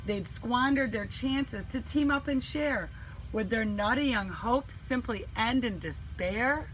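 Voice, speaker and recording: A woman reading a children's storybook aloud in an animated, dramatic way with deliberate emphasis. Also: fairly high pitch, slight background noise, poor audio. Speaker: teacher reading a picture book.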